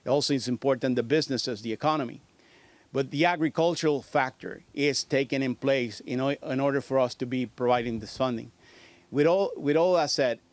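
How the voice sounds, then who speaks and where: A man in his fifties from Puerto Rico with a Puerto Rican accent, a state agency director giving a halting public speech full of fillers and restarts at a podium microphone. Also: mid-range voice. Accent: Puerto Rican Spanish. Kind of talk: public speech at a news conference.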